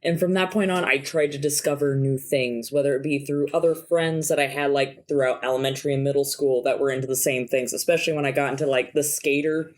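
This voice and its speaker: A woman's voice: a bass voice, steady tone, quick pace, hardly any pauses. A woman, chatting casually and telling stories as a podcast co-host. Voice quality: husky smoker's voice. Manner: chatty, laughs easily, storytelling. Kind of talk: casual chat.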